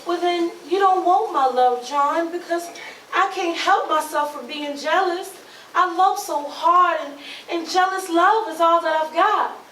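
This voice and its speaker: A woman's voice: very high voice, unhurried, a bit bright. A woman playing a jealous, outspoken young woman in love in a staged play, speaking heated Southern folk dialect. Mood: pleading, clinging. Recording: roomy, distant mic, faint noise.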